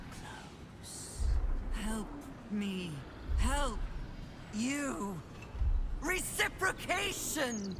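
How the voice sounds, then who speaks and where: A woman voicing a gleeful, bloodthirsty creature in a video game, speaking in halting broken bursts. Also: a little high, gravelly, exaggerated voice. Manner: halting single-word bursts. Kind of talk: dramatic game creature acting.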